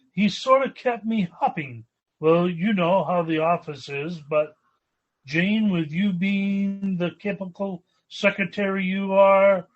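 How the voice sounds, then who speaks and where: A man's voice: high voice, highs cut off. A man playing a polite, stuffy, well-to-do young businessman suitor in a 1940s-style radio comedy. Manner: genteel, hesitant.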